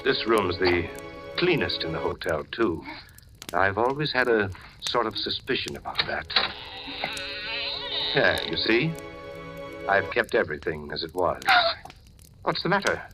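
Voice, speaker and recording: An actor playing a deranged, menacing hotel keeper who rambles in a folksy, deceptively solicitous way, in an old-time radio horror drama. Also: male voice, sits low, sing-song, measured pace, pauses often, poor audio. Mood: falsely solicitous. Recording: vintage radio drama, music underneath.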